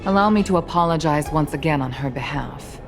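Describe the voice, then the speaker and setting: An actress playing a stern, formal and protective mother who holds high command in a video game. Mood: gracious, formal. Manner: formal, polite, measured. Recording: music behind the voice.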